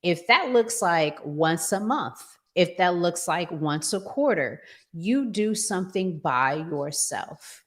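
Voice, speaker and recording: A woman's voice: lowish voice, animated, takes it slowly, few pauses. A woman hosting a talk show, giving conversational relationship advice emphatically and repeating phrases to drive them home.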